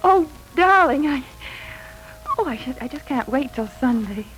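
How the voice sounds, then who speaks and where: An actress playing a gentle, hopeful young wife and mother, tender and expressive in 1940s radio drama style. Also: high-pitched, measured pace, low-quality recording. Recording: vintage radio recording with music.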